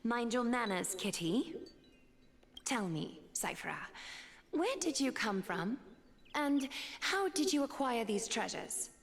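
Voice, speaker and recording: A woman playing a dignified, refined female demigod in a video game, speaking in a formal, measured way. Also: fairly high pitch, sing-song, exaggerated voice. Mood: composed. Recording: echoing voice with music behind.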